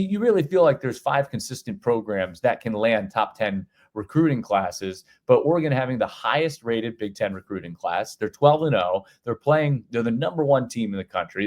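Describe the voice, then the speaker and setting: A middle-aged man chatting casually as a podcast co-host, voice sitting at an ordinary pitch. He rambles, doubles back on himself and repeats words as he thinks aloud. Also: darkish tone. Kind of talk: casual sports talk.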